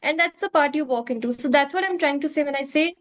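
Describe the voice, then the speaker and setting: A woman's voice: high voice, quick pace. A woman from South India with an Indian accent, a native Hindi speaker, answering interview questions earnestly and often restating her points. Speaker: curriculum developer, interview guest.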